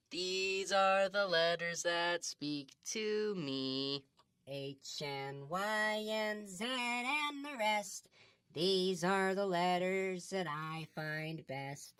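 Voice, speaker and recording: A woman's voice: mid-range voice, extremely slow, fairly bright tone, clean tone, dry recording. A woman playing a sweet, gushing children's TV hostess in improvised radio comedy, singsong and encouraging. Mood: earnest, slow.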